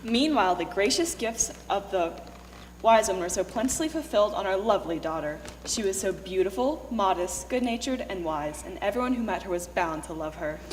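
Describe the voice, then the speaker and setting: Proud stage fairy-tale queen, female reader, brisk delivery, mid pitch, heard from a distance. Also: lively intonation, slight background noise, close to an everyday voice. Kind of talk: readers theatre stage reading. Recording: distant mic in reverberant hall.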